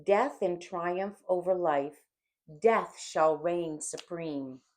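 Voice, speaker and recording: A woman reader puts on a scoffing, mocking male devil for a dramatic audiobook. The speech is slow and theatrical, savoring every taunt with grand menace. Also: lowish voice. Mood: gloating menace. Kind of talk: dramatic audiobook character reading.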